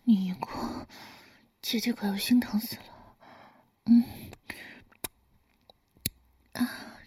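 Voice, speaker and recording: A woman voicing a teasing, doting older lover in a whispered audio roleplay, speaking in a soft, coaxing, breathy whisper. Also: a little high, steady tone, slow. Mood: tender, coaxing.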